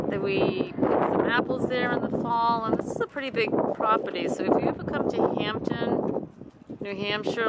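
A woman's voice: mid-range voice, very noisy. A woman vlogger chatting enthusiastically as she shows off food, a farm market and shopping finds. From New England. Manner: chatty, enthusiastic show-and-tell. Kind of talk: casual vlog narration. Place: farm market barn and home table.

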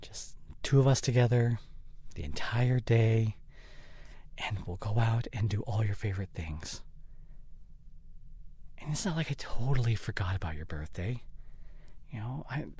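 A man playing an apologetic, guilt-ridden boyfriend in an intimate audio roleplay, halting and breathy, often near a whisper, close on the microphone. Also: fairly low pitch, expressive, mellow, no room sound, exaggerated voice. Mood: coaxing. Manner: halting, stammering, earnest pleading. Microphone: close studio condenser microphone.

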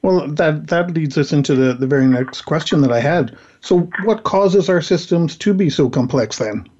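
Host with a strained voice, slightly high. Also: male voice, darkish tone, muffled recording, a little hiss or hum. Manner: halting, asks questions with restarts. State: losing his voice. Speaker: podcast host. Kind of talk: interview questions.

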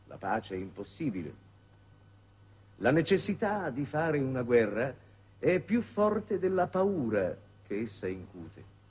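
An actor playing a grave, authoritative foreign minister with formal, measured oratory in a 1960s Italian television drama. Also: male voice, lowish voice, darkish tone, slightly rough. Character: grave, authoritative foreign minister chairing a space war council. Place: television studio set. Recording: dated 1960s television soundtrack.

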